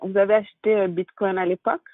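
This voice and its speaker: A woman hosting an interview podcast, asking questions conversationally with halting, repeated words. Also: medium pitch, flat delivery, dry recording, narrow, tinny audio. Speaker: podcast host.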